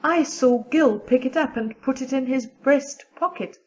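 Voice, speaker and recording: Woman playing a high-voiced, practical teenage girl. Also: a little slow, darkish tone. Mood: admiring, chatty. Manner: matter-of-fact, gossipy. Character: practical, chatty, loyal young teenage girl. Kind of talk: audio drama acting.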